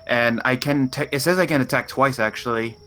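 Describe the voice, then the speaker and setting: A man's voice: mid-range voice, brisk, fairly bright tone, slight background noise. A man playing a tabletop roleplaying game, talking casually and hesitantly, unsure of the rules.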